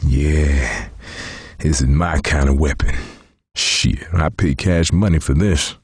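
An actor voicing a big, cocky, good-humored middle-aged survivor coach in a zombie video game, with a folksy Southern American accent and emphatic, salty delivery. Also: male voice, very deep voice, takes it slowly, husky, dry recording, studio quality, exaggerated voice. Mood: gleeful, cocky. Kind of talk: video game character voice lines.

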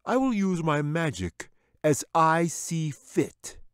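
A smug wizard, played by a man reading aloud speaks high above his usual voice. He is haughty and dismissive. The sound is close and dry. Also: very animated, slow, clean tone, dry recording.

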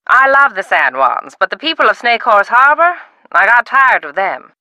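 Brief: sly older widow, game character, bright lively delivery, dry close sound. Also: female voice, on the high side, moderate pace, no room sound, heavily acted, low-quality recording. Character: eccentric widowed older woman, secretive. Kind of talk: video game voice acting.

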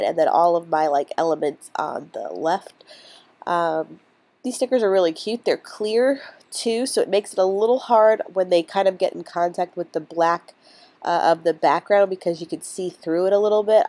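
A woman's voice: fairly low pitch, a little mellow, no room sound. A woman with a cold and a stuffy nose, chatting casually over her craft video with many ums and restarts. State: congested from a cold. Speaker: crafting vlogger.

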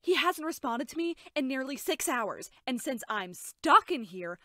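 Woman acting insecure young cartoon ticket seller, fairly high, lively intonation, anxious, griping. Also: unhurried, dry recording, studio quality, full character voice. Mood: glum. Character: bored, insecure young ticket-booth worker, worried girlfriend. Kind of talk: animated web cartoon acting.

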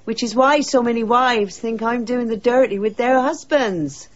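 A woman's voice: a little high, moderate pace, lo-fi sound, close to an everyday voice. An actress playing a saucy, gossipy village woman in a radio drama, with a teasing, knowing, colloquial way of talking. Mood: amused, proud.

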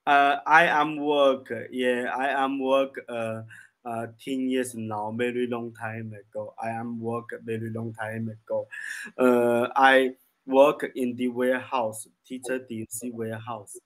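A man in his thirties with a Chinese accent, practising casual English conversation as a learner in halting, simple broken English with repeated phrases. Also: medium pitch, flat delivery, fairly bright tone. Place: small room indoors, video call. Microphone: desk microphone.

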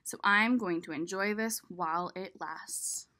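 A woman's voice: fairly low pitch, a little slow, pushed and bright, voice pushed down. A woman voicing a worry-prone homebody egg from a picture book, reading expressively and chattily to the listener. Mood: content. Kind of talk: expressive storybook read-aloud.

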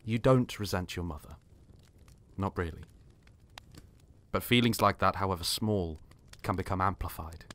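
Mysterious stranger, played by a male actor: low voice, earnest, insistent, ominously knowing, for a dramatic script reading. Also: normal speed, long pauses, fairly bright tone. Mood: grave, knowing.

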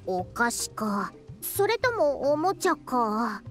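A woman's voice: a little high, big pitch swings, slow pace, faint music behind. A woman voicing a proud, youthful-sounding scholar in a Japanese video game, talking in a self-important yet caring way with old-fashioned elder's phrasing. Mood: earnest, caring. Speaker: scholar and teacher. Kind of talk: Japanese anime-style video game voice acting.

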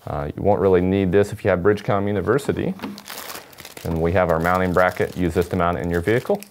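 A man's voice: low voice, fairly even intonation, quick pace, a little hiss or hum. A man, giving a casual unboxing demonstration as a product presenter. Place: indoor studio at a table.

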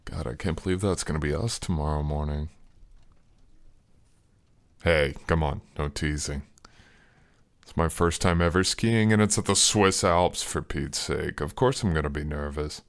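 A man's voice: a bass voice, moderate pace, pauses often, no echo. A young man performing an intimate ASMR roleplay as an affectionate, teasing boyfriend, murmuring tenderly and breathily close to the mic. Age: young adult. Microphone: close, dry microphone.

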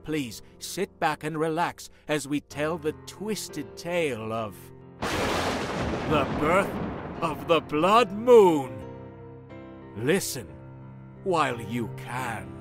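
A man's voice: on the high side, animated, very slow, pauses often. A man playing a spooky narrator, telling a scripted horror tale with theatrical, ominous phrasing. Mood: sinister welcome. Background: music.

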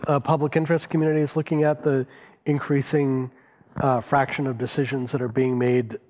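A man's voice: mid-range voice, level tone, fairly quick, a little mellow. A man moderating a panel discussion, posing questions to the speakers. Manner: brief affirmations and questions.

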